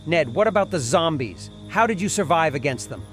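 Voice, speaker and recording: Man acting commanding army sergeant, animated delivery. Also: on the high side, brisk, faint music behind, lightly acted. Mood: probing, measured. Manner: terse, authoritative. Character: gruff, commanding army sergeant leading survivors. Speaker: voice actor. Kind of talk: audio comic dub, dramatic dialogue.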